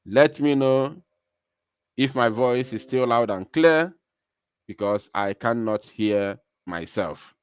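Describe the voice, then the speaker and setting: Smooth-voiced Nigerian man hosting a live broadcast. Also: mid-range voice, slow, no room sound. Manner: emphatic, repeats phrases for stress. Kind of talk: live political call-in broadcast, news commentary.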